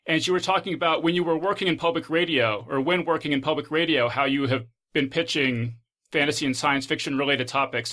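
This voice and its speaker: A man's voice: fairly high pitch, pushed and bright. A man hosting a podcast, speaking in a conversational interviewing style. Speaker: podcast host.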